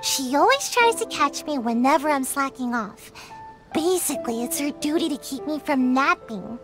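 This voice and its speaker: A woman's voice: sits high, lively intonation, moderate pace, music in the background. A woman voicing a sleepy, lazy little girl ninja who whines and pleads. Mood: drowsy. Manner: drowsy, whiny, pleading. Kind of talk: game voice acting. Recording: game score behind.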